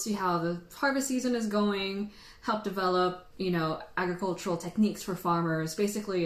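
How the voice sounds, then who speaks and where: A woman's voice: on the low side, reverberant. A woman, a native Tagalog speaker, hosting a casual livestream and chatting with viewers in a playful, teasing, jokey way. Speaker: host of a tea tasting livestream.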